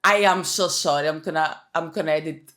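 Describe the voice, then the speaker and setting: A woman's voice: deep voice, brisk, fairly bright tone. A young woman with a Greek accent answering viewer questions in a casual, chatty vlog, often restarting her sentences. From Greece. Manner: chatty, self-conscious, with frequent restarts and fillers. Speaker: YouTuber. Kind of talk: casual Q&A chat.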